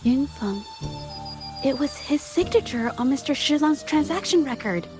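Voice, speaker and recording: A woman playing an earnest young female investigator in a video game, thinking aloud in a deliberate, explanatory way. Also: sits high, animated, over music. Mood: thoughtful.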